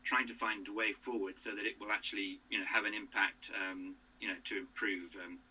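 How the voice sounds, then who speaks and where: A man in his sixties from England, a guest in a casual interview, who talks haltingly with many fillers and restarts. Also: lowish voice, fairly even intonation, pushed and bright, a little hiss or hum, low-quality recording.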